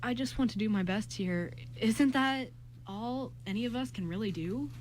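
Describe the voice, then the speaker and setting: Earnest, high-voiced young man played by a woman. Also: moderate pace, darkish tone, slight background noise, lightly acted. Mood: earnest, pleading. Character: earnest, kind young man pleading to become a mentor. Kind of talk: amateur radio play script reading.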